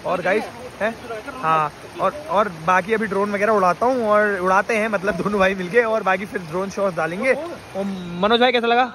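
A man's voice: sits high, fairly quick. A man in his twenties vlogging casually to a phone held at arm's length, outdoors by a river and later in a car. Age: 20s. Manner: chatty, talks straight to camera. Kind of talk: casual vlog narration. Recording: noisy outdoor phone audio.

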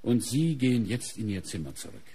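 An actor in a German radio crime drama playing a probing, insistent police inspector who questions in a clipped, exacting way. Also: male voice, mid-range voice, big pitch swings, fairly quick, dark tone, slight background noise, natural voice. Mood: businesslike.

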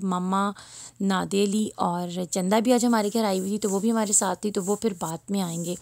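A woman's voice: medium pitch, vocal fry, darkish tone, no echo. A woman in her thirties with a Pakistani Urdu accent, casually narrating her daily routine as a mother in an easygoing, rambling way, with a slightly sore throat. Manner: easygoing, chatty, rambling with fillers. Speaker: vlogger and mother.

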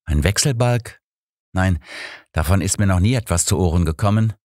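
A man's voice: deep voice, normal speed, darkish tone, no echo, studio quality, close to an everyday voice. A man reading an older doctor, a detective's loyal and puzzled sidekick, in a formal, incredulous manner for an audiobook.

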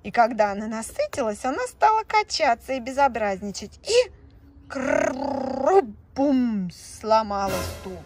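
Woman narrating a children's tale, slow and sing-song. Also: fairly high pitch, very animated, darkish tone, slight background noise. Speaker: storyteller. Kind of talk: children's story narration with paper puppets. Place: indoors, tabletop on green felt.

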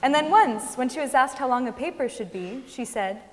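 Young woman reading, highish voice, hall reverb. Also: animated, moderate pace. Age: young adult. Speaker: reader on stage. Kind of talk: dramatic reading from a script. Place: large wooden concert hall stage.

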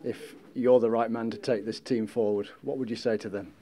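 A man's voice: medium pitch, steady tone, brisk, dark tone, slight background noise. A male interviewer putting direct, probing post-match questions to a football manager.

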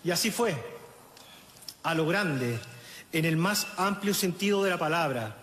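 A middle-aged man with a Chilean accent reads a funeral eulogy at a lectern microphone outdoors, in a solemn, measured way with halting restarts, audibly emotional. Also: fairly high pitch, expressive, fairly bright tone. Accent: Chilean Spanish. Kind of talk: funeral eulogy, read aloud. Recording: echoey, reverberant outdoor sound with faint ambience.

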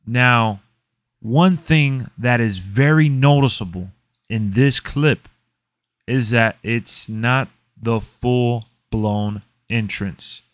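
A man's voice: medium pitch, extremely slow, no room sound. Emphatic man hosting, dry close mic. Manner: emphatic, conversational, repeats points for stress. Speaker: gaming and wrestling video host. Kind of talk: casual reaction commentary to camera.

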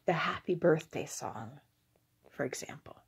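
A young adult woman giving a whispered, halting ASMR monologue in a breathy voice, recorded indoors on a phone held at arm's length. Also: sits low, lively intonation. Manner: slow, hesitant, halting. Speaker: ASMR video creator. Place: small indoor room with curtains.

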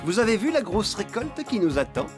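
Easygoing young farmhand in a kids cartoon, really animated, music behind. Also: male voice, high-pitched, brisk. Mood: cheerful. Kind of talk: children's cartoon acting.

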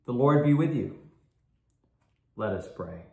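A man in his thirties, a priest, addressing his congregation at home, halting with many fillers and restarts, then leading a prayer. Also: mid-range voice, lively intonation, dark tone. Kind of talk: informal address and collect prayer. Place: home room, indoors. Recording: roomy echo.

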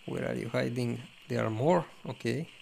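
A man's voice: mid-range voice, normal speed, vocal fry, darkish tone, slight background noise, rough recording. A man giving casual, laid-back game commentary in short reactions. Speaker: gamer commentating on gameplay.

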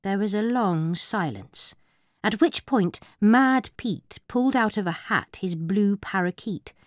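A woman reading a rhyming children's story in a theatrical, expressive way. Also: mid-range voice, a little slow, no room sound, clean studio sound. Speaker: storybook narrator.

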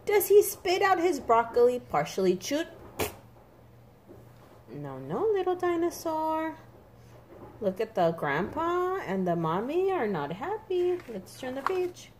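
A woman reading a picture book aloud to young children in an expressive, sing-song, emphatic way. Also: high-pitched, takes it slowly, a little hiss or hum. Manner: animated, emphatic, sing-song with repeated words for small children.

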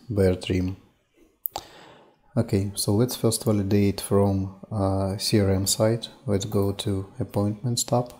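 Slow, flat-voiced man walking through software. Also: fairly low pitch. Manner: unhurried, stepwise, with frequent "uh" and "um". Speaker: software demo presenter. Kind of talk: screen-recorded software tutorial.

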